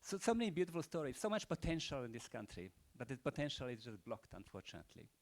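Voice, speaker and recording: A man's voice: mid-range voice, sing-song, fast talker. An older man with a Croatian accent giving rambling, unscripted closing remarks at a panel event, full of rhetorical questions and "you know".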